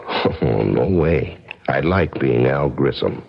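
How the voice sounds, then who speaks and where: An actor playing a streetwise, wary drifter in a 1970s radio drama, with a sardonic, slangy way of talking. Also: male voice, a bass voice, animated, slow, vocal fry, heavily acted. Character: streetwise, wary, wisecracking male drifter drawn into crime. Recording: dull lo-fi old radio recording.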